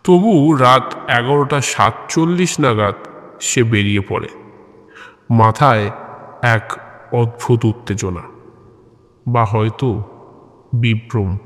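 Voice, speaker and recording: A man narrating and hosting scripted audio horror stories in a measured way, pausing between short phrases. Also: medium pitch, fairly bright tone. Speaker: host and narrator of an audio story channel. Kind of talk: scripted horror story narration and channel introduction. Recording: long reverb on the voice.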